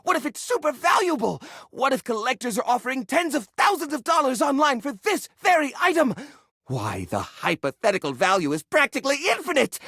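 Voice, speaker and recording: A man voicing an eccentric, cheerful hoarder in a video game, theatrical and excitable, rambling like a proud tour guide. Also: very high-pitched, creaky, slightly rough, no room sound, clean studio sound, heavily acted. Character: eccentric, cheerful male hoarder personifying a junk drawer. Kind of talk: animated video game voice acting.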